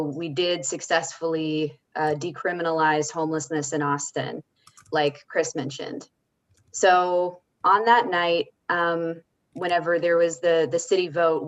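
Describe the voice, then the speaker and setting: A woman speaking off the cuff as an activist panelist, explaining her points emphatically and checking for agreement. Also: deep voice. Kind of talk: unscripted advocacy talk on a panel. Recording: slightly dull, lo-fi audio.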